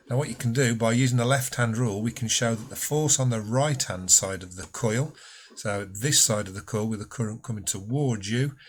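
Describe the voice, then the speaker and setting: Man teaching, slightly husky, lowish voice. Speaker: physics teacher. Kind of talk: explanatory school lesson over slides.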